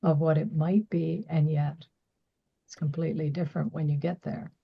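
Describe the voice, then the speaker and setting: A woman's voice: low voice, steady tone, normal speed, creaky, breathy edge. A woman with a Canadian accent who grew up speaking Bengali hosts the interview, asking questions and encouraging her guest with frequent mm-hmms. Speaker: podcast host. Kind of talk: conversational interviewing. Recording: slightly dull lo-fi audio.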